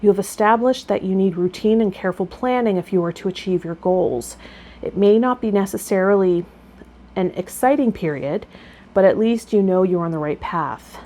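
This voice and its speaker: A woman's voice: medium pitch, moderate pace, dark tone. A woman speaking as a tarot vlogger and guide, explaining and chatting directly to viewers. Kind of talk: reading card meanings, then casual chat to viewers. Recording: clear background noise.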